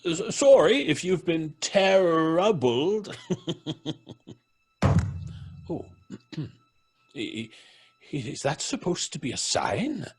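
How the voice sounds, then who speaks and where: A blustering, sceptical gentleman in a vintage radio drama. He is husky and rather high, stammering with dry pomposity and huge swings of pitch. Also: big pitch swings, very slow, long pauses, heavily acted. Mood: dry, sarcastic. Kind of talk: old British radio horror drama acting.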